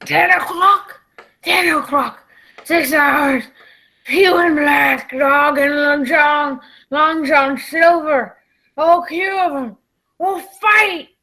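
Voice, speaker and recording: A woman playing a gruff, frightened old male pirate captain with gravelly, rustic sailor speech in a radio play. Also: high-pitched, slow, pushed and bright, exaggerated voice. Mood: alarmed. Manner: rustic sailor dialect, dramatic.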